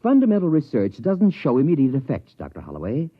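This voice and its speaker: A man's voice: fairly high pitch, huge pitch swings, fast, no echo. A man playing a stuffy, indignant academic professor in a 1950s radio comedy-drama, speaking in a pedantic, formal, affronted way. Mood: defensive, lecturing. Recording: dull vintage radio broadcast.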